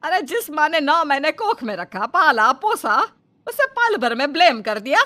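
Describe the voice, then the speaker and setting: A woman's voice: high voice, very animated, moderate pace, pushed and bright, exaggerated voice. A woman voicing an indignant, sarcastic middle-aged mother-in-law in a comic cartoon story, scolding and dramatic. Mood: wounded, dramatic.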